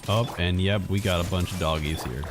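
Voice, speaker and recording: A man's voice: low voice, a little fast. A man giving casual, playful live commentary as he streams a game. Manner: playful, mouths sound effects. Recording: game music behind.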